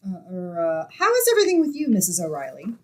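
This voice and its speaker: A woman's voice: on the low side, quick pace. A woman playing a sensible, wry young woman who narrates and acts in an old-time radio comedy. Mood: bossy, exasperated. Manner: wry, expressive. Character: sensible, wry young woman, exasperated yet affectionate roommate.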